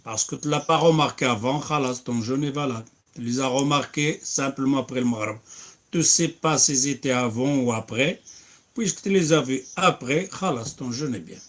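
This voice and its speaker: A man's voice: mid-range voice, moderate pace, fairly bright tone. A man preaching and answering questions, emphatic, breaking into recited Arabic verses. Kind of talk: religious Q&A.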